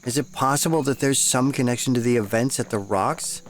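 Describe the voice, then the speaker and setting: An actor playing a polite, inquisitive geologist asking questions. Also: male voice, mid-range voice, brisk, slight background noise, lightly acted. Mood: earnest.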